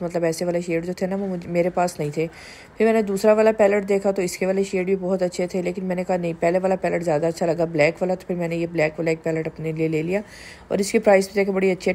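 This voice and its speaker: Woman vlogger, chatty voice-over, low mellow tone. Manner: chatty, candid shopping commentary with English words mixed in. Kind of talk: casual voice-over vlog narration.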